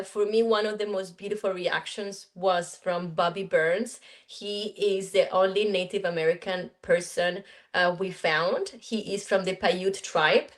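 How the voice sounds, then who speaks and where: A woman talks as an interview guest. She tells stories at an easy, conversational pace, often pausing on "you know" and "um". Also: mid-range voice. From Colombia. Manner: warm storytelling with many fillers. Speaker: film director.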